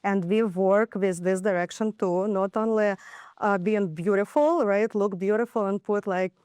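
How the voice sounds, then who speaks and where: A woman's voice: mid-range voice, a little slow, a little mellow, clear, resonant tone, no echo. A woman with a Ukrainian accent, a beauty studio founder, talking eagerly as a guest in a TV studio, with slightly non-native phrasing. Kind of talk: casual studio interview.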